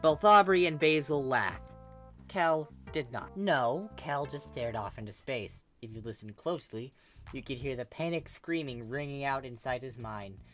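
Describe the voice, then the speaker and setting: A woman narrating fan fiction in an animated storytelling style. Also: rumbling low, moderate pace, faint music behind.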